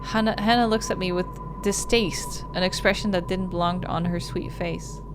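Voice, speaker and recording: A woman's voice: on the low side, steady tone, moderate pace, music in the background. A woman hosting a gaming video, reading a visual novel aloud as dramatic narration. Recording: noise behind the voice.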